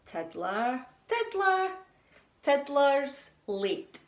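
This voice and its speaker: A woman's voice: high-pitched, very animated, voice pushed up, full character voice. Miss Skate, a woman voicing a fish teacher. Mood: brisk roll call. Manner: brisk, questioning roll call. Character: strict female fish schoolteacher calling the register. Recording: echoey room.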